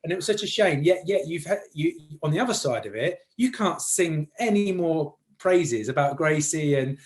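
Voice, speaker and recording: A man with a fairly high voice chats as an interviewer. He talks casually and loosely, circling and repeating words while he works toward his point. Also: expressive, normal speed.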